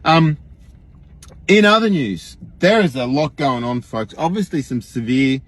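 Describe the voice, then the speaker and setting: An Australian man imitating an earnest, rambling online guru in a folksy, emphatic livestream monologue, with a husky voice. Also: on the high side, expressive, measured pace, a bit bright. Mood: earnest, alarmed. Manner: folksy, emphatic, rhetorical questions, frequent chuckles.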